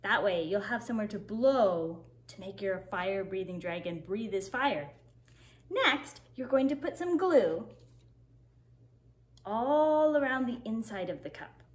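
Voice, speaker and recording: A woman teacher speaking to kindergarteners, expressive and simply instructive, as in story time and craft lessons. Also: mid-range voice, unhurried. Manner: expressive, simple instructive phrasing for young children. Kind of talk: storybook read-aloud and craft instructions for children.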